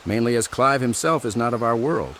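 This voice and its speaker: An actor playing a shrewd, articulate minstrel in a video game, speaking deliberately and wryly with a gravelly voice. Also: male voice, medium pitch, animated, a bit bright, a little hiss or hum, natural voice. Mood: thoughtful, wry. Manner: deliberate, storytelling, wry. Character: shrewd, well-travelled male minstrel, thoughtful and articulate. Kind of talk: scripted video game voice acting. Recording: studio voice under game music.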